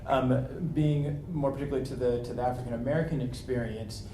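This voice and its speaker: A man's voice: medium pitch, fairly even intonation. A man who works as a foreign correspondent, answering on a convention panel in a thoughtful, reflective way with frequent restarts. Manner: thoughtful, many restarts, slows down on serious points. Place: convention meeting room. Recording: echoey room with background noise.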